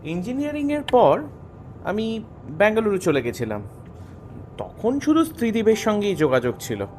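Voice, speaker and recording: An actor playing a friendly young engineer in an audio drama, speaking conversationally. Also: male voice, high-pitched, very animated, moderate pace, a little mellow, a little hiss or hum. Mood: explaining.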